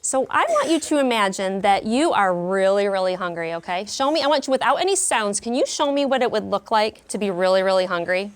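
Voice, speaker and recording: A woman's voice: mid-range voice, expressive, a little fast, few pauses. A woman teacher leading a classroom lesson for young children, speaking expressively and prompting them with questions. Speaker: elementary school teacher.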